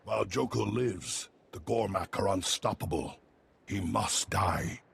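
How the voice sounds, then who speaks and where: A stern, formal alien commando leader from a video game. The voice is low and gravelly, delivering clipped, solemn statements over faint background noise. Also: male voice, a little slow, fairly bright tone, full character voice. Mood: commanding. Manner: clipped, solemn short declarations.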